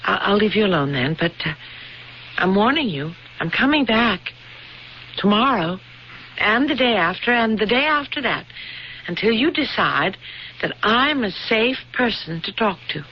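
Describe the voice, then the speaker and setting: An American actress playing an earnest, persistent young bride-to-be in a radio drama, speaking insistently with hesitant pauses. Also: female voice, medium pitch, animated, slight background noise, lightly acted. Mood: gently insistent. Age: young adult. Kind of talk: 1970s radio drama acting. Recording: dull lo-fi old radio broadcast, music behind.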